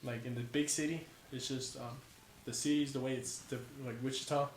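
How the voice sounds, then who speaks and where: A man's voice: medium pitch, fairly even intonation, fairly quick. A man in his twenties from California, a college football player talking as a podcast guest in casual, profane, slangy chat. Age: 20s. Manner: profane, laid-back slang. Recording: echoey room with faint noise.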